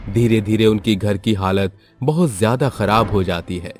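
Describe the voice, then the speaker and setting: A man's voice: fairly low pitch, moderate pace. A man narrating a scripted moral story for children. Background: background music.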